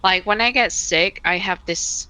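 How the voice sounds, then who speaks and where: Chatty, bright-voiced woman streamer. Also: medium pitch, unhurried, clear, resonant tone, low-quality recording. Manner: rambling, lots of fillers and restarts. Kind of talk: casual chat while gaming.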